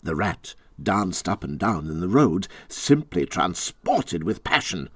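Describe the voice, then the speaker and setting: Expressive male storybook narrator, very animated pitch. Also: slightly rough, no room sound. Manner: dramatic, with deliberate phrasing. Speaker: audiobook narrator.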